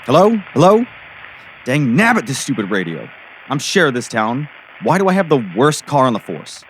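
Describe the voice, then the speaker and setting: A folksy, grumbling small-town sheriff, acted by a man in a radio play, exasperated and very animated in pitch. There is faint ambient noise behind him. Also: moderate pace, lightly acted, rough recording. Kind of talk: audio drama acting.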